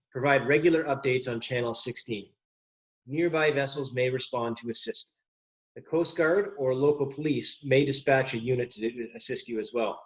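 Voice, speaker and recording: Man presenting a lesson, dull lo-fi sound. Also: medium pitch. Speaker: presenter giving a safety lesson to boat reenactors. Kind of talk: informal instructional presentation. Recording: dull, lo-fi voice recording.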